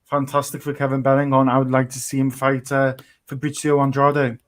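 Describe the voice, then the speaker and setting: A man's voice: mid-range voice, completely flat delivery, a little fast. A man co-hosting a post-fight podcast, chatting casually and hedging his views with repeated phrases. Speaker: podcast co-host.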